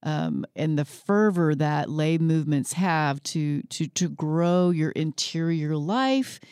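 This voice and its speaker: Woman, radio host, low-ish voice, dry studio, enthusiastic. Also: expressive, slow, a little mellow, clean tone, dry recording. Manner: enthusiastic, upbeat interjections. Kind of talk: radio talk show.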